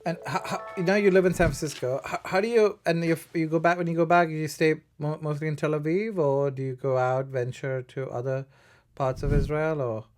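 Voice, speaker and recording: A man hosting a conversational interview show, haltingly restarting his questions as he feels his way toward them. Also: a little high, steady tone, darkish tone, soft background music. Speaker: talk show host.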